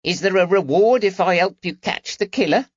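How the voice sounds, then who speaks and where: A man voicing a cheeky, eager young street urchin with a high-pitched voice and slangy talk, in an audiobook. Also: moderate pace, dry recording, highs cut off. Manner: colloquial street slang, bargaining.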